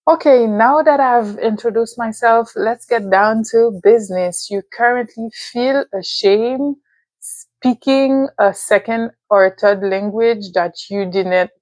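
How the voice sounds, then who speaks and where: A young woman with a Haitian accent, a native speaker of Haitian Creole and French, giving a thoughtful advice talk to camera with pauses and fillers. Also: fairly high pitch, darkish tone, no echo, rough recording. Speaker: vlogger.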